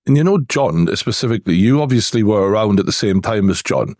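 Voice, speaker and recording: A man's voice: on the low side, lively intonation, a little fast, darkish tone, no echo, studio quality. A man with a Geordie accent hosting an interview, asking loose, filler-heavy questions. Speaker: podcast host. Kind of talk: casual interview chat.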